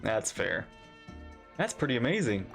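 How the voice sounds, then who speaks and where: A man's voice: medium pitch, very animated, unhurried, gravelly, over loud music. A man doing casual live reaction commentary, teasingly talking back to game characters. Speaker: reaction streamer.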